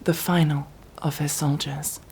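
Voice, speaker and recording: A woman's voice: sits low, level tone, unhurried, a little mellow, slight background noise. A woman playing a devout, zealous sci-fi battle sister in an ASMR roleplay, with solemn, preaching, archaically formal speech. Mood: gentle, pious. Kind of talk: ASMR roleplay, dramatic monologue.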